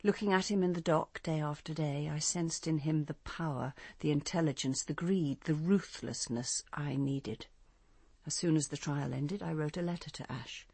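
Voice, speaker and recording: An actress playing a bitter, grieving grandmother coldly set on revenge in a radio drama, with a measured, confessional delivery. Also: deep voice, creaky, mellow, breathy edge, no room sound, exaggerated voice. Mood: coldly resolute. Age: older.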